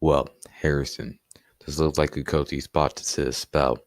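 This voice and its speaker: A man's voice: very deep voice, fairly even intonation, moderate pace, faint music behind. A teenage boy acting a composed, polite senior police detective in a student radio play. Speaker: student actor.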